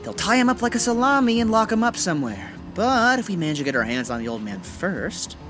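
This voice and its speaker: A man's voice: high voice, very animated, a little fast, music in the background. A man acting a cocky, playful young gangster gunman in an audio drama, bantering in breezy slang. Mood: playful, animated.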